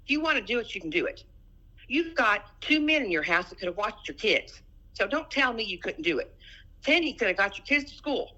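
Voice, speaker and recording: A woman's voice: a little high, very animated, a little fast, pushed and bright, lo-fi sound. A middle-aged woman in a personal phone argument, speaking insistently and repeating her phrases. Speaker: private caller in a family dispute.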